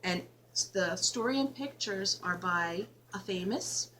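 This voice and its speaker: Slow, bright-voiced woman reading to children. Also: medium pitch, a little hiss or hum. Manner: expressive, simple child-directed phrasing. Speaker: elementary school teacher reading aloud. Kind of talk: children's picture book read-aloud.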